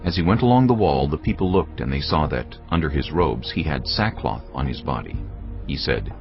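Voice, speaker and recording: A man giving solemn, measured scripted narration for a dramatised audio Bible. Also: very deep voice, expressive, creaky. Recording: dull, muffled audio over music.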